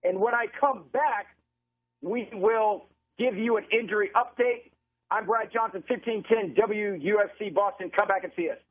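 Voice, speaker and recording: Husky high-pitched American man, tinny broadcast line. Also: moderate pace. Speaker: sports play-by-play announcer. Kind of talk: live football play-by-play commentary. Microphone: live radio broadcast feed from stadium. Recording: narrow tinny phone-line radio broadcast sound.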